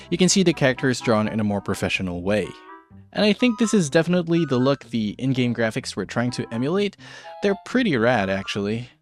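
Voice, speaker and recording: Faint music plays under a man presenting an art tutorial. His mid-pitched voice follows a loose script, and he keeps the delivery casual, slipping in playful, tongue-in-cheek remarks. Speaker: video show host, art tutorial presenter.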